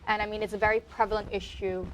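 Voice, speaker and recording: A woman in her early twenties, from Guyana, speaks as a guest in an interview. Her pitch is average for a woman, and she explains her thoughts in an earnest, conversational manner. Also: level tone, fast talker, low-quality recording. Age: early 20s. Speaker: interview guest, pageant titleholder.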